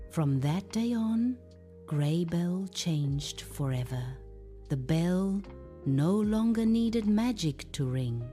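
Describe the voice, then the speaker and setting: A woman's voice: fairly low pitch, dark tone. A woman narrating a scripted moral story for children as a storyteller.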